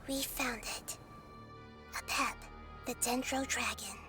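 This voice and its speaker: A woman voicing a wise, earnest child goddess in a video game, speaking in a soft, thoughtful manner. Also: a little high, steady tone, slow pace, loud music behind the voice. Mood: hushed, wary.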